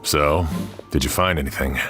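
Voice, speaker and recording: An actor playing a serious, composed officer in a video game, speaking politely and gravely in a measured way. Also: male voice, a bass voice, pushed and bright, faint music behind, full character voice. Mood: grave, courteous. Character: serious, composed male officer seeking help with a case.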